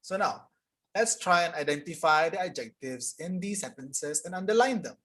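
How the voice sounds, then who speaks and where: A young man teaching an English grammar lesson live online, explaining casually and reading sentences aloud slowly. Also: fairly high pitch, animated, fairly bright tone, husky. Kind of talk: live online tutorial reading chat answers.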